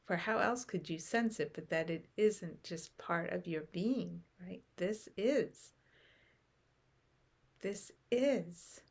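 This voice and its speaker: A woman leading a guided meditation in short, unhurried phrases with long pauses, softly trailing into breath at the ends. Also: on the low side, husky. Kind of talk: guided meditation, unscripted and contemplative.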